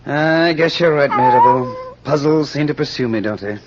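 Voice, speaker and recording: An actor playing a cool, observant amateur detective with a wry, measured manner, in old radio style. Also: male voice, on the high side, expressive, background noise, natural voice. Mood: probing, calm. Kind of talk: 1940s radio acting. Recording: muffled old radio recording with music.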